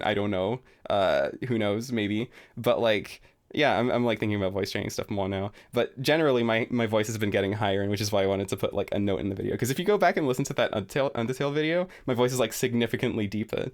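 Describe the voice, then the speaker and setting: A young adult man streaming a relaxed, rambling reaction commentary, full of fillers and self-deprecating asides. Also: fairly low pitch.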